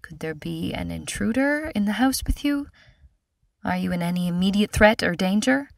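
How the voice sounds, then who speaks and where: A woman voicing an emergency operator who speaks in a measured, serenely calm way. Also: mid-range voice, gravelly, no room sound, studio quality, far from the mic. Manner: measured, soothing, even.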